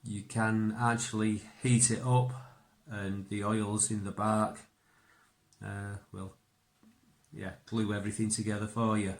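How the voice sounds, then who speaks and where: A man's voice: fairly low pitch, level tone, unhurried. A man who is a native English speaker, giving a casual hands-on bushcraft tutorial and thinking aloud informally. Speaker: bushcraft instructor.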